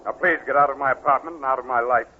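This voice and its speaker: A man's voice: mid-range voice, very fast, soft background music, close to an everyday voice. An actor playing a cold, bitter, curt man in 1940s radio drama style. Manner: curt, stern. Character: cold, bitter man ordering a blackmailing lover out. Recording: dull 1940s radio recording.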